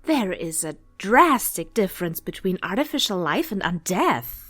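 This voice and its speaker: A woman's voice: medium pitch, very animated, fairly bright tone, no echo, soft background music. A woman acting a composed, reasoning goddess of life and death in a fantasy audio drama, articulate and challenging. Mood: firm, composed. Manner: articulate, challenging, measured wording.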